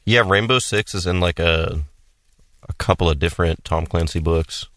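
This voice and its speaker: A young man co-hosting a casual radio show, with a deep baritone voice and deadpan jokes. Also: moderate pace, no echo. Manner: deadpan, joking. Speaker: radio co-host.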